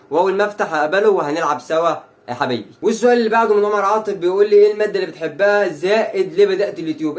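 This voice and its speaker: A man in his twenties with an Egyptian Arabic accent, a YouTuber answering fan questions to camera in a chatty, brash way. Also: sits high. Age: 20s. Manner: chatty and brash, rattles off lines. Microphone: phone.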